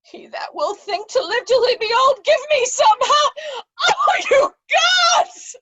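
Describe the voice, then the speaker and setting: A woman's voice: extremely high pitch, sing-song, slow, pushed and bright, shouting, highs cut off, exaggerated voice. A woman playing a tormented, anguished old nobleman in a readers' theatre reading of a Shakespearean tragedy. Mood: agonized, wailing. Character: old nobleman Gloucester, tortured, blinded, anguished.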